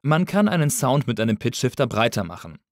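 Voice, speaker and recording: A man narrating a scripted tutorial as an instructor, in a measured, explanatory way. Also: medium pitch, big pitch swings, dry recording, clean studio sound.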